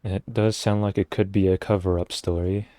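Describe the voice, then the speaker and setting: A man's voice: low voice, flat delivery, normal speed, warm tone, no room sound. A man streaming a game live, casually commenting and reading dialogue aloud.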